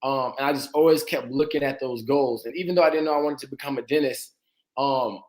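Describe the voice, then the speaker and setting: A man in his late twenties from Atlanta, a dentist guest speaking in a relaxed, casual way with frequent fillers and easy laughter. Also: mid-range voice, fairly quick, poor audio. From Atlanta, Georgia. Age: 20s. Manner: relaxed, laughs easily, frequent "uh" and "you know". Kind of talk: casual interview talk.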